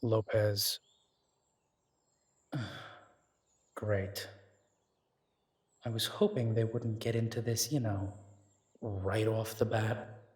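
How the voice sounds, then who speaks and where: A man playing a steady, protective detective who speaks softly and reassuringly, trying to keep the peace. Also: fairly low pitch, very slow, pauses often, breathy edge, no room sound, heavily acted. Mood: placating. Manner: soft and reassuring, pleading, peacemaking. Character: steady, protective male detective, tender with his partner. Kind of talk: audio roleplay drama acting.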